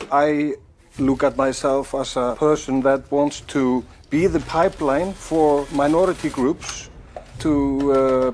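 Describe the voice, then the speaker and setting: A man with an Icelandic accent, an investigative journalist, speaking thoughtfully in an interview with frequent pauses. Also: a little high, a little mellow, slight background noise. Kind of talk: documentary interview.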